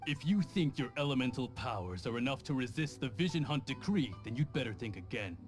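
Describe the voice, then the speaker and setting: A man acts as a menacing game enforcer with an average-pitched voice. He talks down to his target with smug threat, while faint music plays behind him. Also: animated, a little fast, lightly acted. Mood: threatening. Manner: threatening, condescending. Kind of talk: video game dialogue acting.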